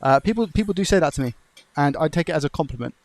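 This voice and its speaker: A man live-streaming electronics repair, soft-spoken and chatty, talking calmly to his chat as he works. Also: fairly high pitch, rapid-fire, no echo. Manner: calm, chatty, talks to the chat while working.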